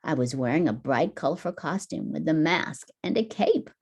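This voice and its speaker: A female teacher reads a picture book aloud. Her voice sits a little low and is very mellow, with deliberate, emphatic storytelling meant for children. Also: lively intonation, breathy edge.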